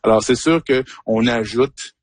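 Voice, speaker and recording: A man's voice: mid-range voice, measured pace, no echo. A man of about fifty, a hockey commentator answering interview questions in a thoughtful, explanatory way while searching for his words.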